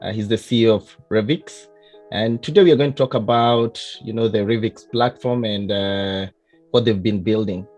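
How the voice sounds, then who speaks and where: A man's voice: on the low side, normal speed, darkish tone, soft background music. A man hosting an interview over a call, hesitant, with many "uh"s and restarts. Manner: hesitant, many "uh"s and repeated starts. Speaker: interview host.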